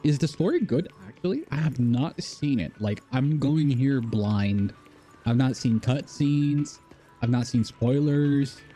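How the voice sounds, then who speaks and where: A man's voice: medium pitch, unhurried, dark tone, soft background music. A man live streaming a video game, chatting casually with viewers and thinking aloud in a rambling, repetitive way.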